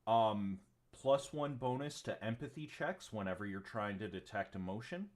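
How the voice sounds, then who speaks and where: A man's voice: on the low side, animated, moderate pace. A man running a tabletop game as game master, rambling with many ums and casual swearing. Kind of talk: narrating a tabletop game on a livestream.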